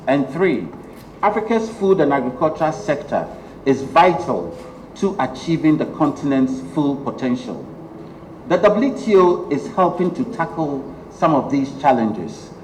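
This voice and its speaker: Ghanaian man lecturing in an echoey hall. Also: fairly high pitch. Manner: measured, with frequent ums. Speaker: guest lecturer. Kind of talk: public lecture. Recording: echoey hall, faint noise.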